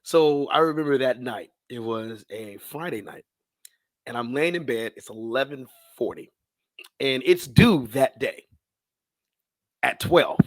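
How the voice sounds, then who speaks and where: A man's voice: medium pitch, unhurried, pauses often, dry recording. An American man, around his thirties, telling stories casually in an interview, slangy and acting out bits of dialogue as he goes. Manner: animated, slangy, acts out his own past thoughts and dialogue. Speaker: podcast guest, sports public address announcer.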